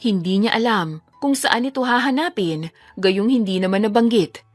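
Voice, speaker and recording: A woman's voice: medium pitch, expressive, moderate pace, no room sound. Woman narrating romance, music behind. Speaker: story narrator. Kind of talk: scripted dramatic romance narration.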